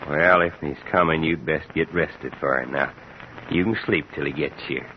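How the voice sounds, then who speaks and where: An actor playing a dutiful, guarded backwoods son with a rural American accent and folksy drawl in a vintage radio drama. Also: male voice, very deep voice, moderate pace. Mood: gentle, doubtful. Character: heavy-set, dutiful backwoods son, plain-spoken and guarded. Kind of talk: 1950s radio drama acting. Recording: muffled, hissy vintage radio recording.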